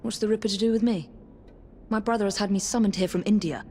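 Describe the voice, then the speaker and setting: A woman as a brisk, direct female assassin. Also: mid-range voice, steady tone, darkish tone, slight background noise, natural voice. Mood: urgent. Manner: brisk, questioning.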